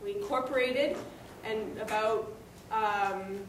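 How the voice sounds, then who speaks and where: A woman's voice: medium pitch, slow, a little hiss or hum. Woman speaking far off in echoey hall. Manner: candid, lively, laughs often. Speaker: farmer and panelist. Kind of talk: symposium panel talk. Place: university lecture hall. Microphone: room microphone at a podium.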